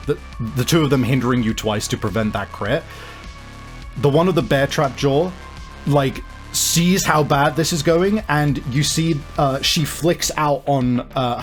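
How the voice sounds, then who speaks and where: A man improvising narration as game master of a tabletop game, thinking aloud haltingly as he describes the action and the rules. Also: medium pitch.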